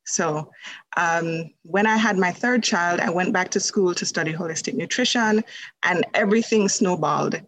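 A woman's voice: lowish voice, normal speed, fairly bright tone, no echo, rough recording. A woman from Jamaica, a holistic nutrition practitioner, telling her story as a podcast guest in a conversational way, with many fillers. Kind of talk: casual interview storytelling.

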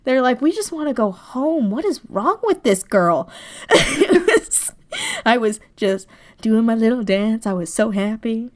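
A woman chatting casually as a podcast guest, an animated, effusive storyteller talking about her writing. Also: a little high, measured pace. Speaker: podcast guest, audio drama writer. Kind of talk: casual interview chat.

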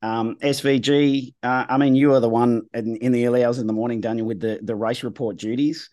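A man with a hoarse, husky voice hosting a motorsport podcast in a relaxed, conversational way. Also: mid-range voice, no room sound. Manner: conversational, measured. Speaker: podcast host. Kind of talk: casual motorsport chat.

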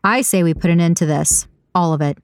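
A woman voicing a cynical, brooding, stubborn man in an audiobook, blunt and insistent in a low-key way. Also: fairly quick, clean tone, no room sound, studio quality, lightly acted. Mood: resolute. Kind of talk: audiobook character dialogue.

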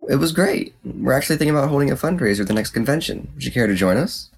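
A man playing a sly, sharp-tongued young male fox student in an audiobook. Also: mid-range voice, expressive, very fast, gravelly, breathy edge. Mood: polite, teasing. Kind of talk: acted audiobook character dialogue.

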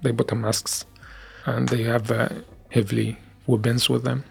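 A man with a Syrian Arabic accent, a native Arabic speaker, giving testimony in an interview in halting second-language English with frequent restarts. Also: medium pitch, flat delivery, vocal fry, darkish tone, slightly rough. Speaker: interviewee, former activist.

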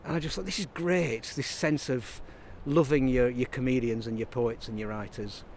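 A man's voice: medium pitch, fairly even intonation, moderate pace, a little hiss or hum. Reflective man in his fifties. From Burnley, Lancashire, England. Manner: rambling, reflective, frequent restarts. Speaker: interview guest, writer and songwriter. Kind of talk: casual interview conversation.

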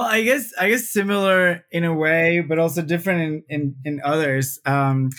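A man talks as a guest in an interview. His voice sits a little high, and he speaks thoughtfully, often doubling words as he works out a sentence. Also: fairly bright tone. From Venezuela. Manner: thoughtful, frequent word repeats. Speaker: UX researcher guest. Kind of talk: casual interview talk.

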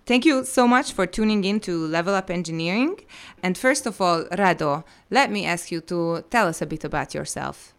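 A woman hosts an interview podcast in a smooth, clear, lowish voice. She reads her introduction in a friendly way, then asks questions with slight hesitation. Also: animated, moderate pace. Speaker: podcast host. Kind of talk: interview hosting.

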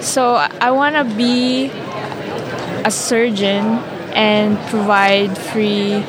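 A woman's voice: medium pitch, steady tone, very slow, rough recording. A young premed woman from the Philippines, chatting eagerly in an outdoor interview. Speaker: premed student. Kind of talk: vox pop interview. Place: outdoor event. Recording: outdoor event with crowd chatter. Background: crowd chatter.

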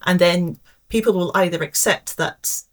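A woman in her forties with an English accent, a pensions actuary answering interview questions in a thoughtful, measured way with frequent soft hesitations. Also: on the low side. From Scotland. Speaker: pensions actuary, podcast guest.

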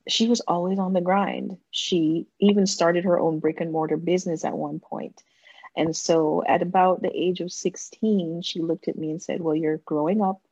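A woman's voice: deep voice, creaky, darkish tone, husky, dry recording, muffled recording. A middle-aged woman with an accent that shifts between Jamaican and American, talking as a gracious, effusive podcast guest. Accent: Jamaican and American mix. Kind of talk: casual interview talk.

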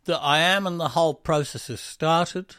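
A man's voice: fairly high pitch, moderate pace, sharp tone, clear, resonant tone, dry recording. Rambling self-mocking man, dry sound. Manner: rambling, self-deprecating, many ums; breaks into quoted voices for jokes. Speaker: musician and podcast co-host. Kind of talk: casual podcast chat.

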